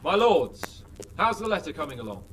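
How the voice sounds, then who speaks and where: An actor playing a cocky young lord in a game, breezy and eager to be off. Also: male voice, on the high side, big pitch swings, moderate pace, a bit bright, slight background noise. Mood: brisk, eager. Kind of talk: video game cutscene acting. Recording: distant from mic, faint ambience.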